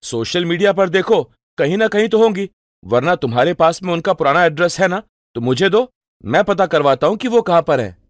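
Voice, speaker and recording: A man's voice: high voice, very animated, fairly quick, no echo, studio quality. A man playing a helpful young student brother, eager and polite, in storybook cartoon dialogue. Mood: enthusiastic.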